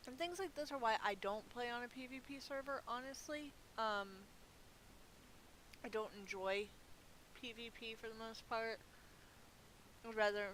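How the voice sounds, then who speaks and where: Woman, somewhat high voice, casual gameplay commentary, playful, talking to herself, hissy noisy recording. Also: a bit bright. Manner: playful, chatty, with exclamations and muttered asides to herself. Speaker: gaming video host, player. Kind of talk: casual video game play commentary.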